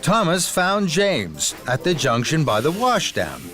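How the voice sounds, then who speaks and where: A man's voice: on the high side, wildly swooping pitch, measured pace, a bit bright, soft background music. A man narrating a scripted children's story as the storyteller.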